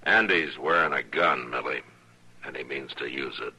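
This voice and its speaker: Very deep-voiced, steady frontier marshal. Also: male voice, breathy edge, heavily acted. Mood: steady, grave. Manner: terse, measured, firm. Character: calm, steady frontier marshal, grown man. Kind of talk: old-time radio western acting. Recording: old radio recording with background crowd murmur.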